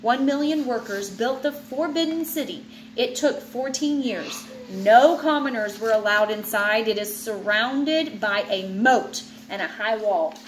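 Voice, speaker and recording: A woman in her thirties reading a children's nonfiction book aloud at home, clear and steady, stumbling over hard names and adding small asides. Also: on the high side, a little slow, roomy sound, a little hiss or hum, poor audio. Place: home living room with ceiling fan. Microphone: webcam at desk distance.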